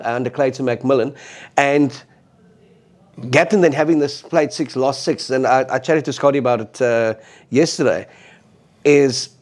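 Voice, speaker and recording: A man's voice: medium pitch, a little mellow. A man hosting a rugby chat, fluent as he recounts match history in detail. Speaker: podcast host. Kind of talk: casual rugby discussion.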